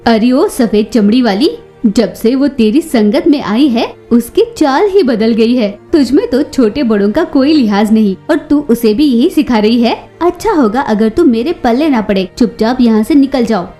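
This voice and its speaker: A woman's voice: on the high side, expressive, normal speed, mellow, faint music behind, lightly acted. Woman voicing a scolding older bird mother-in-law. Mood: angry, scornful. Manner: scolding, sarcastic. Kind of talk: Hindi children's cartoon story acting.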